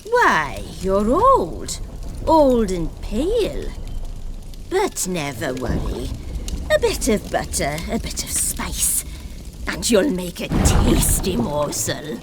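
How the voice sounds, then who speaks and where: A woman's voice: lowish voice, wildly swooping pitch, slow, noisy background, exaggerated voice. A woman playing a wicked old fairy-tale witch in a video game, syrupy and mocking with menace underneath. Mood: gloating, menacing. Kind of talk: theatrical video game character acting.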